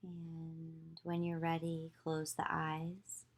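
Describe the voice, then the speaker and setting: Very slow, low-voiced woman guiding meditation. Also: completely flat delivery, slightly rough. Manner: slow, soothing, spaced instructions. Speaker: yoga teacher. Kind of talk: guided visualization meditation.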